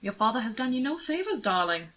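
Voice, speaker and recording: A woman voicing an amused, rakish young aristocratic gentleman in an audiobook, with a suave, drawling, teasing manner. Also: fairly high pitch, big pitch swings, fast talker, pitched above the performer's own voice. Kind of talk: audiobook character voice.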